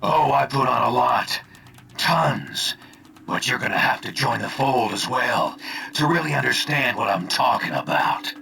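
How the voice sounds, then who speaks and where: Gravelly, smug game villain. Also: male voice, medium pitch, lively intonation, unhurried, pushed and bright, full character voice. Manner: taunting, sardonic. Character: smug, menacing mind-controlled male villain. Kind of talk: video game cutscene acting.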